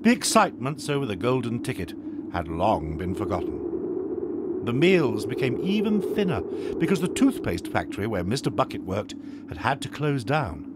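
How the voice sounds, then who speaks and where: An actor playing the storyteller, giving expressive scripted narration of a children's tale. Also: male voice, medium pitch, moderate pace, very noisy, close to an everyday voice. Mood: expressive storytelling.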